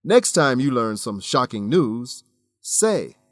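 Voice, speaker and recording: A male teacher-host reads a scripted language lesson in a medium-pitched voice. He is measured and instructive, enunciating clearly, with a very dry, close studio sound. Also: sing-song, dry recording. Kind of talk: scripted educational narration.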